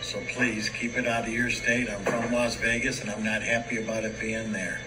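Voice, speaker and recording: A man's voice: on the low side, level tone, normal speed. A man in his seventies, an army veteran, giving blunt, terse testimony. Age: 70s. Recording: roomy and distant, loud music behind.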